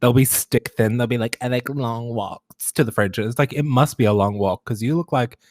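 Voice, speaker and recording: A man's voice: mid-range voice, moderate pace, darkish tone, slight background noise. A man co-hosting a podcast in casual chat, teasing and crude in his banter. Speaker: podcast co-host.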